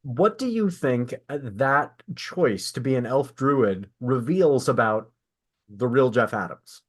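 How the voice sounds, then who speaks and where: A man's voice: mid-range voice, animated, slow pace. A man hosting a podcast, talking in a casual, chatty way with guests. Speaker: podcast host interviewing an author. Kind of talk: casual chat.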